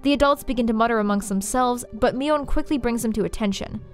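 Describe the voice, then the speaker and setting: Female video essayist, fairly high voice, dramatic scripted narration with deliberate pauses, music bed. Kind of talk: scripted story analysis narration.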